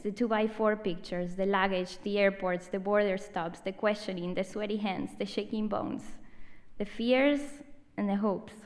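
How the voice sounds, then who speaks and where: A woman in her thirties from Argentina with an Argentinian Spanish accent, reading a personal essay aloud on a theatre stage in a measured, reflective way with emphatic pauses. Spanish is her first language. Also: medium pitch, clean tone, long reverb tail. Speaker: storyteller.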